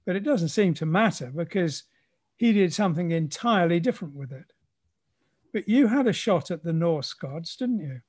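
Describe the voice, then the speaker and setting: A man hosting a casual conversation in a measured, reflective manner. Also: sits high, animated, a little mellow, breathy edge. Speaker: conversation host.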